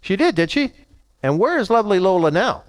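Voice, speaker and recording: A man's voice: sits high, sing-song, a little fast. A man playing a touchy, menacing club-owning gangster with sneering mock politeness, in a 1940s radio detective parody. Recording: echoing reverberant hall, off mic.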